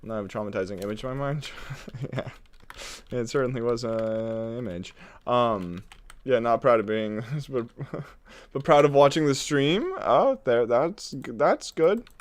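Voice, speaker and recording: A man's voice: mid-range voice, lively intonation, a little slow, dry recording. A man live-streaming a building game, chatting in a playful, rambling way with frequent chuckles, sounding congested. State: congested, runny nose. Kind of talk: casual live-stream chat while building.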